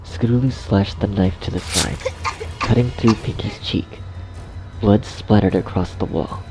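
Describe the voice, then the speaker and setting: Low, creaky-voiced man narrating a story. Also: a little slow, over music, rough recording. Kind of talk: scripted dark fan fiction narration.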